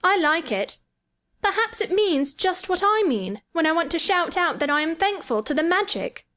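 A woman's voice: very high-pitched, very animated, moderate pace, fairly bright tone, pitched above the performer's own voice, heavily acted. A woman voicing an earnest, eager young boy in a storybook reading, well-spoken and a little imperious. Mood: delighted, eager.

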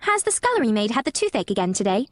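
A woman's voice: fairly high pitch, big pitch swings, fast talker, dry recording, studio quality. A woman voicing an earnest, eager and curious little girl in a storybook reading. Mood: curious, insistent.

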